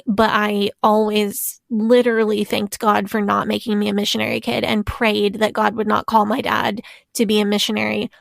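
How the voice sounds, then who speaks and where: A woman's voice: medium pitch, little pitch movement, moderate pace, vocal fry, dry recording. A woman hosting a podcast, chatting earnestly and reading a listener story aloud on a close mic. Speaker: podcast host. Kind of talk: casual podcast chat.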